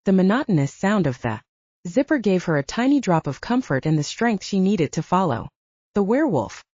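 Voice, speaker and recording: A woman's voice: mid-range voice, very animated, normal speed, dark tone, no room sound. A woman narrating fiction as a scripted, dramatic audiobook reading. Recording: slightly dull, dry close recording.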